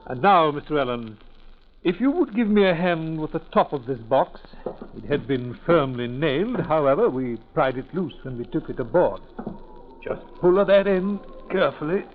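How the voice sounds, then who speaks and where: Courteous male ship's officer played by a radio actor, higher-pitched, formal, measured and hesitant, vintage 1940s drama, narrow tinny sound. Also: animated, slight background noise, close to an everyday voice. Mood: solemn. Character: courteous, businesslike male ship's officer showing a grim find.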